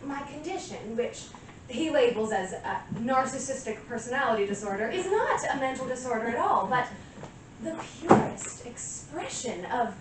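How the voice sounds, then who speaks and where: Actress playing a vain, self-adoring woman, echoey room. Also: a little high. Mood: grandiose, insistent. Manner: self-adoring, deliberate delivery with pauses. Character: vain, deluded woman narcissist convinced everyone desires her. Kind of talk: theatrical stage monologue. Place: small live stage venue with audience. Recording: echoey room from far off, noisy.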